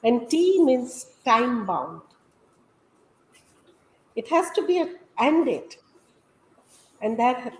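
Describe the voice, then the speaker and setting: Woman, Gujarati speaker, earnest teaching tone, slightly high voice, echoey room. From India. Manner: earnest, explanatory, steady teaching tone. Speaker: guest, health coach. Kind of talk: conversational interview.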